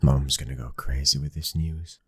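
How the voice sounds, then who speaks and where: A British man acting a protective, devoted young mafia husband in an intimate ASMR boyfriend roleplay, his breathy voice slipping into whispers. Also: fairly even intonation, normal speed, a little mellow, dry recording, studio quality, heavily acted. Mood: stunned, joyful. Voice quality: very deep, breathy, husky.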